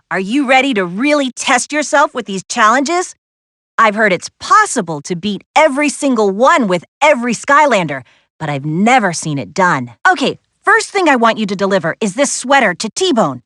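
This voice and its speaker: A woman voicing a confident, spirited young adventurer in a video game, briskly giving missions with an earnest, lightly wry manner. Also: on the high side, a bit bright, no room sound, clean studio sound. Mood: friendly, instructive. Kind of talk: video game character voice acting.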